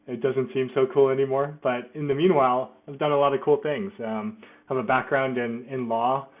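A man's voice: medium pitch, fairly even intonation, normal speed, narrow, tinny audio. A middle-aged man speaking as an interview guest, self-deprecating and often restarting his sentences. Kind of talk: casual interview.

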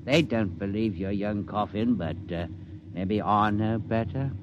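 A man's voice: lowish voice, measured pace, muffled recording, natural voice. An actor playing a sly, mocking Cockney crewman with a mock-polite, ungrammatical way of talking, in old-time radio drama style. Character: sly, mocking male spaceship crewman, crooked bosun type. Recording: noisy vintage radio recording.

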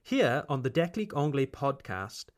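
A man with a Welsh accent hosts a podcast lesson for English learners. He talks slowly and articulates each word with care. His pitch sits in the middle but moves through a lively, animated melody. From Wales. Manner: slow and carefully articulated. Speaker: English teacher and podcast host.

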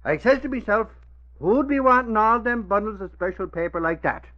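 A man's voice: high-pitched, animated, a little fast. A man playing a talkative, self-important newspaper reporter, boasting of his deductions with folksy grammar in 1940s radio acting. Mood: boastful. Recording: muffled old radio recording.

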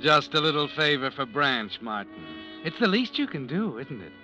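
An actor playing a sardonic, all-knowing narrator who taunts and insinuates in 1940s radio drama style. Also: male voice, a little high, sing-song, moderate pace, muffled recording, over loud music. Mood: sardonic, insinuating. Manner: insinuating, taunting, addresses the character as you.

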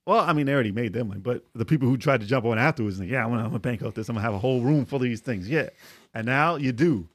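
A man's voice: medium pitch, racing, a bit bright, no echo. A man hosting a casual gaming podcast, bringing up news items and repeating himself for emphasis. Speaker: podcast host reading the news. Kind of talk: casual chat.

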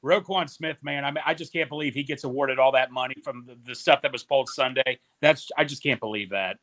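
A man's voice: mid-range voice, a little fast, a bit bright. A man calling in to a podcast from a basement, easygoing and chatty. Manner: easygoing, laid-back chatter. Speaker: caller on a stream. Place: family house basement.

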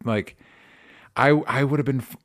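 A man's voice: medium pitch, unhurried, fairly bright tone. A man with a mid-range voice talks movies as a show co-host. His delivery is casual and informal, with free swearing and phrases he tends to repeat.

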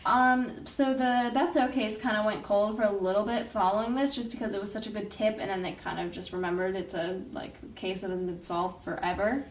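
A woman's voice: medium pitch, fairly even intonation, brisk, few pauses, far from the mic. A young woman co-hosting a podcast, chatting casually and saying "like" a lot. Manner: casual, filler-heavy, says "like" a lot. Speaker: podcast co-host. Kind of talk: casual chat. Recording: echoey room, away from the mic.

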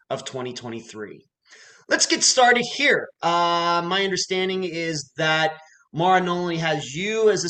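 A man's voice: on the high side, fairly bright tone, low-quality recording. A man from the Pittsburgh area with a slight Pittsburgh accent, hosting an interview in a chatty, rambling way. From Pittsburgh area, USA. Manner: chatty, rambling, many fillers and restarts. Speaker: podcast interviewer. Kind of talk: casual interview conversation.

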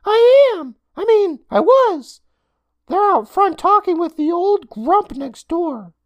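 A reader voicing a jumpy, nervous young boy who blurts out squeaky, loud, rushed lines. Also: lively intonation, exaggerated voice. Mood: urgent.

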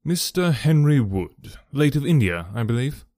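A man's voice: medium pitch, very animated, moderate pace, darkish tone, no room sound, lightly acted. Man doing cool-headed detective, precise and courteous, storybook reading, dry sound. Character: calm, incisive male detective. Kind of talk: audiobook reading.

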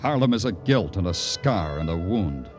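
Weary hardboiled cop in old radio drama, low voice, really varied delivery, music around. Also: male voice, big pitch swings, normal speed. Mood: brooding. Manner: hardboiled, wry, clipped. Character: weary, sardonic, compassionate male police lieutenant detective. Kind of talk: old-time radio crime drama acting and narration.

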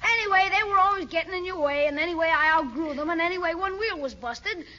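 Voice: very high-pitched, fast talker, bright, forceful tone. A performer playing a cheeky, scheming schoolboy full of glib, wheedling excuses in a 1940s radio comedy style. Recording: dull old radio recording.

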